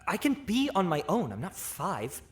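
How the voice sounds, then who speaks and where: A man's voice: high voice, huge pitch swings, moderate pace. A man playing a nervous, uneasy young man who talks in a hesitant, stammering way. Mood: anxious.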